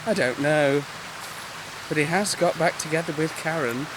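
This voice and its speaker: An actor playing a mopey, passive-aggressive British man in an audio comedy drama, deadpan and pointed. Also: on the high side, normal speed, very noisy, natural voice. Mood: wounded, mournful. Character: mopey, passive-aggressive man nursing his own heartbreak. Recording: rain and outdoor ambience behind.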